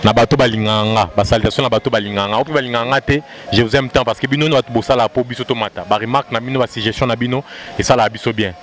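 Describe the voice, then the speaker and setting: A man's voice: mid-range voice, fairly even intonation, rapid-fire, a bit bright. A man with a Congolese French accent, a musician guest chatting casually outdoors at a station, mixing Lingala into his French and often repeating his phrases. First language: Luba. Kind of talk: casual interview chat. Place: outdoors at a busy central station.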